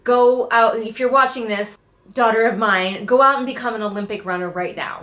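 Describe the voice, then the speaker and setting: A woman's voice: a little high, normal speed, fairly bright tone. A middle-aged woman giving casual, exasperated read-along commentary on a book, sarcastically acting out quotes as she goes. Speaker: book review host.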